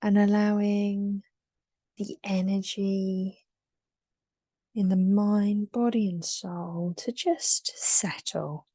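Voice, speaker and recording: Very slow, mellow woman guiding meditation. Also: mid-range voice, steady tone, no room sound. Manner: soothing, unhurried, with long pauses between short phrases. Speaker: guided meditation leader.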